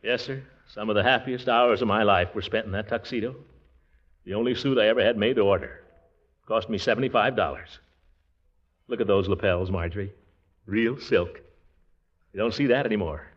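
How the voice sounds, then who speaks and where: A man's voice: fairly low pitch, moderate pace, pauses often, natural voice. A man playing a pompous, easily flustered middle-aged uncle in 1940s radio comedy style, theatrical and blustering. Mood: proud, nostalgic. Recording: dull vintage 1940s radio recording.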